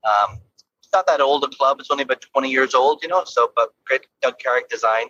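A man's voice: medium pitch, fairly quick, bright, forceful tone, breathy edge, no room sound, lo-fi sound. A man with an Irish accent, a golf coach, chatting easily from his car in an interview. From Ireland. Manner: easygoing, laughs often, repeats words. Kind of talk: casual interview chat from a car.

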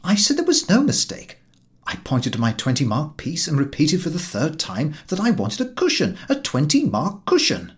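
A man's voice: on the high side, huge pitch swings, normal speed. A man voicing an exasperated, indignant Englishman in an audiobook, with an emphatic, aggrieved delivery. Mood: puzzled insistence. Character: exasperated, indignant Englishman recounting his mishap. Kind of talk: audiobook character dialogue.

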